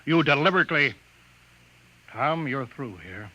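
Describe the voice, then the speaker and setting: A man's voice: medium pitch, lively intonation, measured pace, pushed and bright, highs cut off. An American man acting an irascible, bullying bureau chief who barks explosively, in a mid-century radio drama on a vintage recording. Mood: angry, commanding. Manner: barking, explosive, insulting. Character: blustering, irascible male bureau chief, hot-tempered bully.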